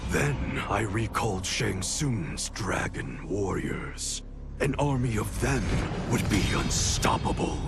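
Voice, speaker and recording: An actor playing a cold, ambitious ninja clan leader, narrating in a deliberate, imperious, menacing manner for a video game. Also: male voice, fairly low pitch, creaky, exaggerated voice. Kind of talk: scripted dramatic game narration.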